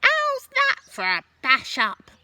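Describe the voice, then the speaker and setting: A woman voicing a bossy, boastful old grandmother in a storybook, yelling scornful, drawn-out words from high up. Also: wildly swooping pitch, full character voice. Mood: boastful, gleeful.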